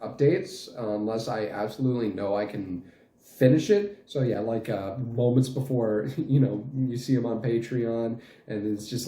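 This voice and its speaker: A man in his late twenties giving a casual channel update in a halting, rambling way. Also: mid-range voice, warm tone. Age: late 20s. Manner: halting, rambling, frequent chuckles mid-sentence. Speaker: channel host and fan dub group leader. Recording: roomy echo, faint music behind.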